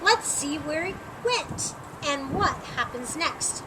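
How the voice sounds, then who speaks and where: Woman reading as a high, lively, helpful storybook librarian. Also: slow, noisy background. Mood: instructive. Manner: welcoming, instructive, encouraging. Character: friendly, helpful woman librarian guiding schoolchildren. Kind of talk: storybook character read aloud.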